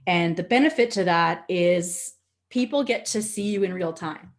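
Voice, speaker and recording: Animated Nova Scotian Canadian woman, chatty. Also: fairly low pitch, normal speed. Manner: animated, candid, chatty, jokey. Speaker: social media instructor. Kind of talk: informal webinar teaching with casual asides.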